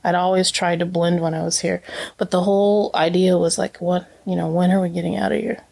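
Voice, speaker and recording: A woman's voice: fairly low pitch, steady tone, fairly quick, creaky, a little mellow, slightly rough. A woman who grew up as a missionary kid, talking as an interview guest in a casual, reflective way with many fillers. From Brazil, raised as a missionary kid. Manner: chatty, many fillers and restarts.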